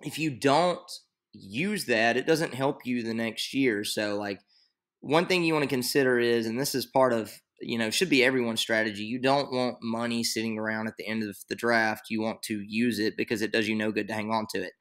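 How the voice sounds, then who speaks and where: A young man walks through a screen-share tutorial in loose, rambling talk full of fillers. His voice is bright and forward, at an ordinary middle pitch. He sits at a desk in a small room, close to his computer mic. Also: moderate pace. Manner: rambling, filler-heavy, thinks aloud. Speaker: fantasy league tutorial maker.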